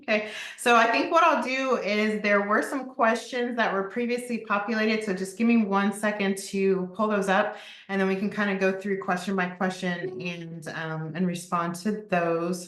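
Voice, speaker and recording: A woman hosting an online panel discussion as moderator, informal and somewhat halting. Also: medium pitch, steady tone, a bit bright. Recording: roomy, echoing sound.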